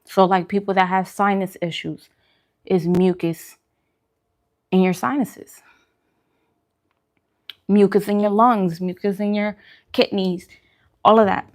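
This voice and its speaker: A woman's voice: medium pitch, flat delivery, slow, long pauses. A woman with a mid-range voice talks casually to her viewers, as in a food vlog. She explains things in an informal, conversational way and stresses her points by repeating them. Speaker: food and wellness vlogger. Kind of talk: casual mukbang chat giving diet advice.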